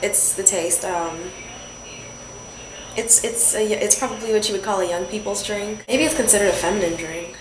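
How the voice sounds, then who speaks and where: Young female student, medium pitch, tentative casual interview talk, roomy and noisy. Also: normal speed. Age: early 20s. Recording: echoey, noisy room.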